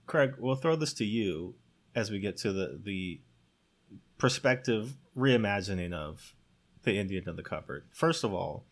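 A man's voice: lowish voice, expressive, unhurried. A man hosting a podcast, posing questions in a conversational way. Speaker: podcast host.

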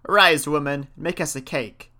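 Raging, commanding folktale witch, acted out by a male storyteller, loud and vengeful. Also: on the high side, moderate pace. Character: band of raging horned witches, shrieking demands.

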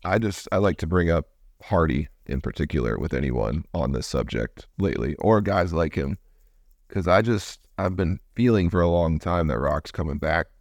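Deep gravelly baritone man hosting. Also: expressive, normal speed, a little mellow, no echo, clean studio sound. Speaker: podcast host and musician. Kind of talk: casual podcast conversation.

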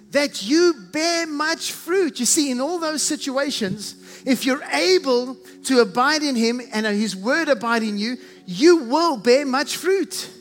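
South African preacher, very high male voice, emphatic and repetitive, leans hard on key words; long hall reverb with faint music underneath. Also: fairly bright tone. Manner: emphatic, repeats strings of synonyms, stretches key phrases slowly. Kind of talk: sermon.

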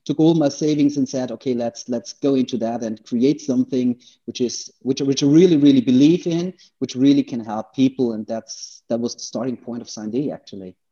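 Husky Austrian man, slightly muffled sound. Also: medium pitch, dark tone. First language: German. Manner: halting, repeats words. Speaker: interview guest, entrepreneur. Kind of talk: conversational personal storytelling.

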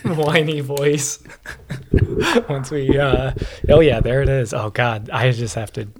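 A man's voice: mid-range voice, slow pace, a loud crowd. A man joking around as a guest on a podcast, poking fun at himself. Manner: joking, self-deprecating. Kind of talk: casual conversation. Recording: noisy background.

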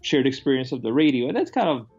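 A man with a medium-pitched voice co-hosts a casual film discussion. He is blunt and conversational. The recording is a bit dull and lo-fi, with faint music behind him. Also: big pitch swings, fast, a little mellow, husky. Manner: blunt, informal, sweary, conversational. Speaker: podcast co-host.

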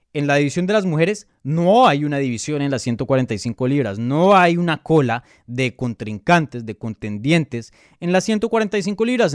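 A man's voice: a little high, no room sound, studio quality. A Colombian man hosting his channel, analyzing mixed martial arts in casual, emphatic talk and answering viewer questions with frequent fillers and restarts. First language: Spanish. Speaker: MMA analyst and channel host.

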